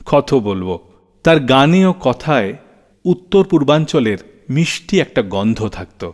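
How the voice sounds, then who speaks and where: A man hosting a radio show, telling stories in a scripted, dramatic style with rhetorical flourishes between songs. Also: mid-range voice. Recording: long reverb, music underneath. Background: background music.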